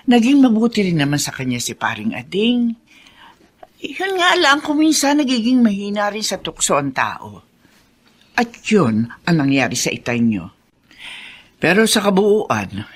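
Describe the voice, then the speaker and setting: Old Filipina family friend, radio drama. Frank, earnest, confiding, very animated intonation. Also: female voice, medium pitch, measured pace, slightly rough.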